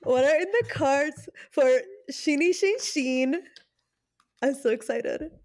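A woman's voice: high voice, lively intonation, slow pace. A woman co-hosting a podcast in casual, slangy chat, gushing and giggly as she talks and reads cards. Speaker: podcast co-host. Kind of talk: casual chat with tarot card readings.

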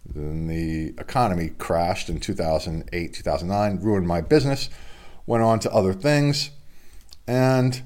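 Congested middle-aged sports host. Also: male voice, low-pitched, measured pace, a little mellow. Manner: chatty, rambling, self-deprecating. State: congested from a cold. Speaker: local sports podcast host. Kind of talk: casual solo sports report. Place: home studio. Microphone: video stream webcam setup.

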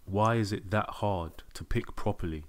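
A man's voice: lowish voice, normal speed, slight background noise. A man narrating a commentary clip in a dry, cynical tone. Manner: dry, cynical, judging women.

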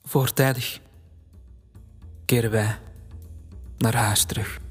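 A Flemish actor playing an understated thirty-something narrator in an audio drama, speaking in short deliberate phrases. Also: male voice, medium pitch, fairly even intonation, slightly rough, soft background music. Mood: understated narration.

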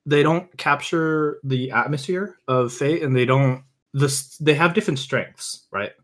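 A man's voice: fairly high pitch, measured pace, fairly bright tone. A man speaking as a podcast guest, giving emphatic opinions in an informal, conversational way. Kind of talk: casual opinionated chat.

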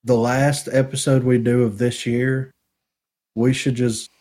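Slow, laid-back man in his twenties. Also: mid-range voice, flat delivery, a little mellow. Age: 20s. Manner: laid-back, laughs often, drawls. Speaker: podcast co-host. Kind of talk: casual banter.

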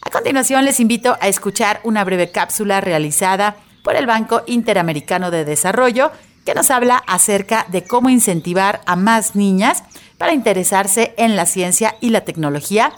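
A woman hosts an educational radio program. She reads her prepared introduction steadily and informatively, her voice sitting at an ordinary middle pitch. Clean studio microphone sound. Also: normal speed. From Mexico. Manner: steady, informative reading from a script. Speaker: radio show host. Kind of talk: scripted radio narration.

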